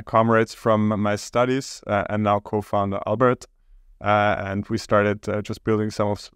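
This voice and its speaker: A man from Austria, a startup co-founder talking as a podcast guest in a hesitant, halting way. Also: lowish voice, clean tone, no room sound, clean studio sound. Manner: hesitant, halting, many pauses. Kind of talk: casual interview talk.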